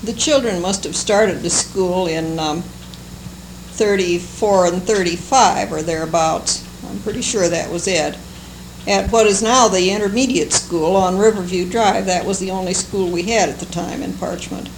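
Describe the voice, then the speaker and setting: An older American woman recalling her life for an oral history, speaking in a halting, unhurried, fond way. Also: fairly low pitch. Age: older, seventies or above. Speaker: interviewee, longtime local resident. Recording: noisy amateur oral history recording.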